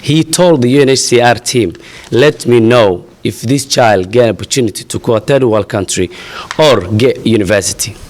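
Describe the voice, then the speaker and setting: A young man from Somalia, a refugee advocate, gives a halting, earnest statement at a press conference, full of pauses and fillers. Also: mid-range voice, a little mellow, slight background noise. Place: outdoor press event.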